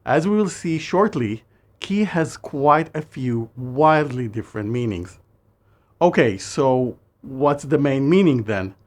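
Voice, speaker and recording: A man who speaks natively, narrating a scripted language lesson in a measured way and slowing down for phrases. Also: medium pitch, animated, darkish tone. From Israel. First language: Hebrew. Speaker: language teacher.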